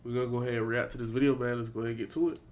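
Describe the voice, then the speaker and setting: A man's voice: mid-range voice, level tone, rapid-fire, darkish tone, slight background noise. A man hosting a reaction video, talking in a laid-back, slangy way with a stuffy, congested voice from a cold. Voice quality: stuffy nasal. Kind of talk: casual reaction commentary.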